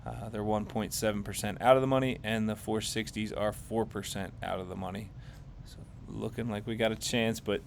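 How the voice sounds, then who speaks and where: Gravelly, flat-voiced man reviewing trades. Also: lowish voice, brisk, few pauses, slight background noise. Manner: conversational, rambling, many fillers and self-corrections. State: voice getting hoarse, losing his voice. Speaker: finance channel host. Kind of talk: casual screen-share market recap.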